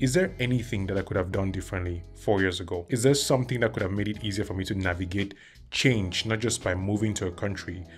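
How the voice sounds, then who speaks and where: A man in his thirties from Cameroon, giving an earnest, measured self-help talk to camera and listing his points one by one. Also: lowish voice. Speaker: vlogger.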